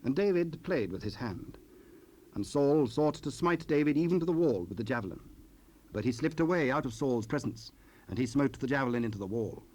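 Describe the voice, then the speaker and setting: Solemn male scripture narrator, medium pitch. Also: animated, a little fast, a little mellow.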